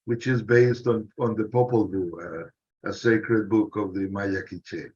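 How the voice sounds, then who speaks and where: A man, a filmmaker and animator, introducing his work on a panel in a halting way with restarts. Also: fairly low pitch, darkish tone, slightly rough. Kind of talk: casual panel self-introduction. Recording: muffled lo-fi call audio.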